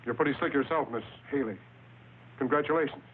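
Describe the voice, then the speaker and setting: An actor playing a tough undercover customs agent, terse, dry and hard-boiled, in old noir radio style on a thin, hissy recording. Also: male voice, mid-range voice, fairly quick, narrow, tinny audio, lightly acted. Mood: dry, cool. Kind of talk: mid-century film noir radio acting.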